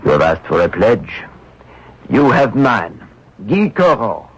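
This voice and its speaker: A man's voice: on the low side, sing-song, slow pace, a bit bright, breathy edge, no room sound, exaggerated voice. An actor playing a grave, resolute French clergyman in a radio drama, with solemn, formal delivery. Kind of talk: radio mystery drama acting. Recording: muffled old radio recording.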